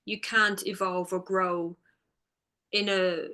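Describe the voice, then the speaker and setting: Bright-voiced woman author. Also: medium pitch, level tone, slow. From Ireland. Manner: thoughtful, hesitant, with many ums. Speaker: author and guest. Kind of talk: interview answers.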